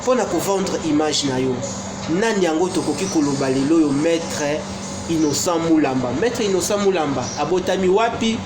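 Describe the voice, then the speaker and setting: A man hosting an interview, lively questions switching between two languages. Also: a little high, normal speed. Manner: lively, asks in mixed Lingala and French. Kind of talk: casual interview. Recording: echoey room, clear noise.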